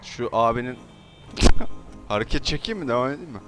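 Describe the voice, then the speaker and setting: A man's voice: medium pitch, expressive, moderate pace, over music. A young man doing joking, slangy, mock-polite banter in improvised video game roleplay. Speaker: gaming video creator.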